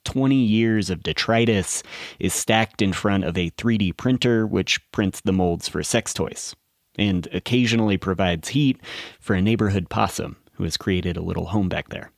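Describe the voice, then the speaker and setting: A man narrates a documentary podcast from a script. His voice sits low, and the sound is close and dry with almost no room around it. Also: moderate pace, vocal fry, no room sound.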